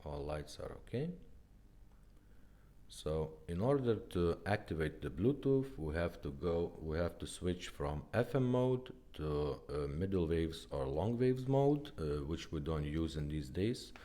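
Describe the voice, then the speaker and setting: A man who is not a native English speaker gives a casual hands-on product demonstration as a restorer, explaining plainly and haltingly step by step. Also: sits low, big pitch swings, warm tone. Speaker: car radio restorer presenting a product demo.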